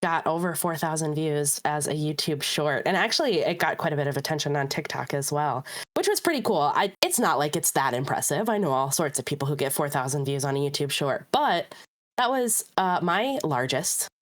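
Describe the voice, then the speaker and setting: A woman co-hosting a podcast in casual chat, upbeat and chatty, her voice a little rough and hoarse from a recent illness. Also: low voice, lively intonation, fairly quick. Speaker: podcast co-host.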